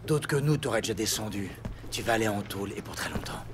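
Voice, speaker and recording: An actor playing a foul-mouthed, streetwise police sergeant, mocking and a little uneasy, in a gritty audio drama. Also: male voice, fairly low pitch, fast talker, heavy background noise, close to an everyday voice. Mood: angry, taunting.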